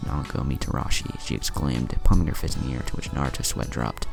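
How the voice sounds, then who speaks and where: A man reading a fan story aloud as a storyteller, with an even, unhurried delivery. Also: a bass voice, gravelly. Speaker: fan fiction reader. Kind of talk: storytelling narration. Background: soft background music.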